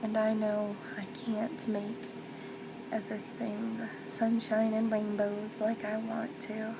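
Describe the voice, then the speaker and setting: A woman acting as a loving mother comforts her child in a soft ASMR role play. Her delivery is slow and very even, tender and reassuring, often dropping close to a whisper. Loud ambient noise runs under the voice. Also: medium pitch, gravelly. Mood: reassuring, earnest.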